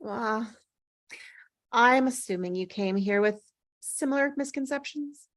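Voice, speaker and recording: A woman's voice: a little high, unhurried, dry recording, studio quality, lightly acted. A woman playing a teasing, melancholy older female ghost in an acted script read, with wry, sighing, dramatic delivery. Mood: wistful.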